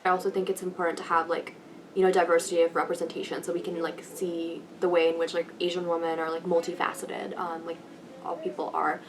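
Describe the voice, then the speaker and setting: A young woman student giving thoughtful, halting answers in a casual interview, often saying "like". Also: fairly low pitch, flat delivery. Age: young adult. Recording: distant mic with faint room noise.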